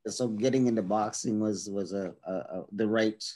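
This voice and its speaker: A man's voice: on the low side, darkish tone. A man speaking as a guest to students over a video call, telling his story casually with many restarts and little chuckles. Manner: halting, many restarts, chuckles between points.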